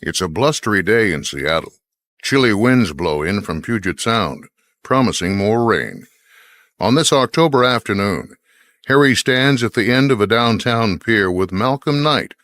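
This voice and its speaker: A man's voice: on the low side, animated, moderate pace, a bit bright, no room sound. A man reading scripted narration and credits as the announcer of a radio mystery.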